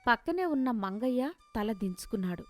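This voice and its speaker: A woman's voice: on the high side, lively intonation, normal speed, no room sound, soft background music. A woman narrating a scripted moral story as a storyteller.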